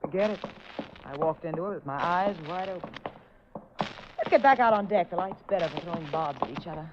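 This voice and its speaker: An actress playing a sarcastic, prickly gold-digger wife in a 1940s radio drama, curt and wisecracking. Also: female voice, fairly low pitch, brisk, close to an everyday voice. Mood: sardonic. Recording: noisy, dull 1940s radio recording.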